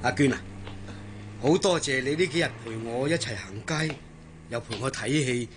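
An actor playing an earnest, devoted young sailor in a radio drama, speaking colloquially and solicitously with a Hong Kong Cantonese accent. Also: male voice, medium pitch, moderate pace, a little hiss or hum, close to an everyday voice. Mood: tender, caring.

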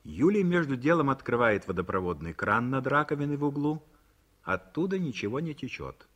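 A man reading an author's note and stage directions for a radio play in a measured, formal manner. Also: mid-range voice, sing-song, fairly bright tone. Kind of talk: scripted narration. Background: music.

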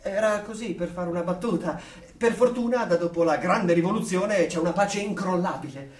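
Radio play: eager, proud conformist, male actor, very high voice, theatrically amazed, slightly distant in a reverberant room. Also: moderate pace, natural voice. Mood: nervous conformity. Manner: chatty, emphatic, theatrically amazed. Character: gushing, proud parent and eager conformist in dystopia. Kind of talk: theatrical radio drama acting. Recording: echoey room, distant from mic.

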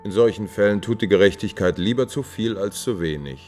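An actor playing a cold, cunning courtier in a 1950s German radio drama, measured, officious and insinuating. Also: male voice, lowish voice, a little mellow, faint music behind, natural voice. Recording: vintage 1950s radio recording.

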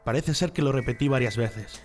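A man's voice: mid-range voice, brisk, close to an everyday voice. Radio play casting: submissive, earnest party loyalist behind bars played by a man, mid-range voice, Spain accent, faint music. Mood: earnest, confessing. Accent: Spanish (Spain). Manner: earnest, chatty, confiding. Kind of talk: radio drama acting.

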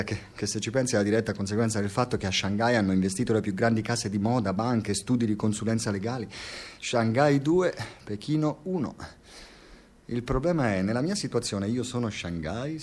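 An actor playing a frustrated, foul-mouthed scientist in an Italian radio drama, sarcastic and given to profane ranting. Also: male voice, mid-range voice, close to an everyday voice. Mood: wry, brooding. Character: frustrated, foul-mouthed male scientist turned reluctant playwright. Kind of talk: Italian radio theatre acting.

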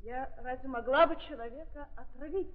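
Actress playing young accused woman, weary, very high voice, simple repetitive speech, vintage radio play, thin tinny sound. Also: a little fast, lightly acted. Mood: defensive, pleading. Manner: plain simple speech, repetitive insistent denials. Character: weary, frightened young woman defendant, a prostitute on trial. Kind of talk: 1930s Russian stage radio acting. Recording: tinny, narrow-band 1930s archive recording.

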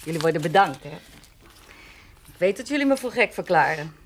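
A woman's voice: on the low side, expressive, brisk, natural voice. Actress as emotional village woman, radio play. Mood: teasing. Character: emotional, teasing village woman, fond of her cat. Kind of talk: naturalistic radio drama acting. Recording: slightly noisy radio play recording.

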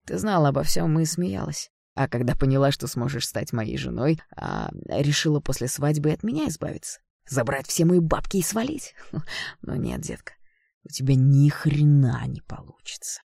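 Man acting hoarse, vengeful young man, creaky snarl. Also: fairly high pitch, big pitch swings, moderate pace, dark tone, no room sound, clean studio sound, heavily acted. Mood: bitter, mocking. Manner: snarling, mocking, menacing. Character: vengeful young man, anguished, bitter and threatening.

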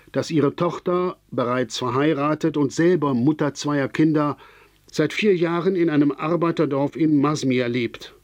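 An actor playing a formal radio announcer who reads a scripted bulletin in a measured way. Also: male voice, fairly high pitch, dry recording, lightly acted. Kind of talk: scripted radio news reading.

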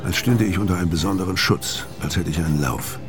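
Very deep-voiced, world-weary hard man. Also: fast talker. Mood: brooding narration. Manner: terse, hard-boiled, guarded. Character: gruff world-weary dying male debt collector, hard-boiled. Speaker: narrator and lead actor. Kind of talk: noir crime radio drama, first-person narration and dialogue. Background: music behind the voice.

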